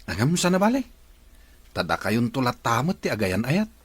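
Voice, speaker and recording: A man's voice: mid-range voice, sing-song, normal speed, breathy edge, no echo. A man with an Ilocano accent acting a sombre, sympathetic villager in a radio drama.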